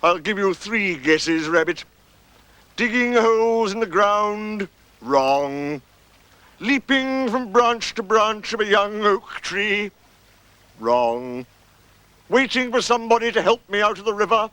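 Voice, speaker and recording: A gloomy old donkey from a storybook, speaking slowly and with heavy dignity. The delivery is ponderous and deadpan, full of dry sarcasm. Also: male voice, sits high, dry recording, pitched above the performer's own voice, heavily acted.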